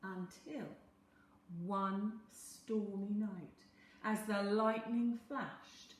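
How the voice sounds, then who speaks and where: A woman reading a children's story aloud in a dramatic, expressive manner. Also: mid-range voice, extremely slow, a little hiss or hum. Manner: dramatic, animated. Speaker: storyteller, teacher. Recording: very echoey room.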